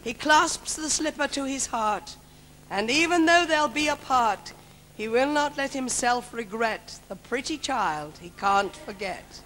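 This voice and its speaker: Kindly pantomime fairy godmother: unhurried rhyming verse, highish voice, noisy theatre. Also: expressive, fairly bright tone. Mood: wistful, gentle. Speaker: pantomime actress. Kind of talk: measured theatrical verse recital. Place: large theatre stage. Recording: noisy theatre stage recording.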